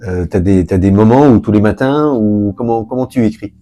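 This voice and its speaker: Deep dark-voiced guy hosting an interview, stumbles over his questions, faint guitar under. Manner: halting, repeats words while asking. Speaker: interview host.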